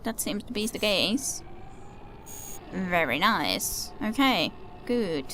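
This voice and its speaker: A woman's voice: medium pitch, big pitch swings, takes it slowly, fairly bright tone, a little hiss or hum. A woman giving casual game commentary, musing aloud hesitantly and repeating her words. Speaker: let's play commentator.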